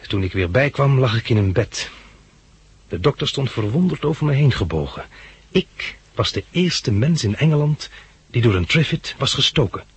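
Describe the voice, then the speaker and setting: A man's voice: medium pitch, slightly rough. An actor playing an eager, polite young plant enthusiast who tells his story in a 1960s Dutch radio drama. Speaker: lead character and narrator.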